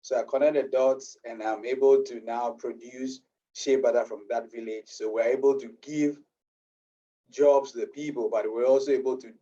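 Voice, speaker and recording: Ghanaian-accented man, earnest interview guest, mellow tone, muffled distant audio. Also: medium pitch, steady tone, moderate pace. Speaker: business owner guest. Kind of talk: interview answers.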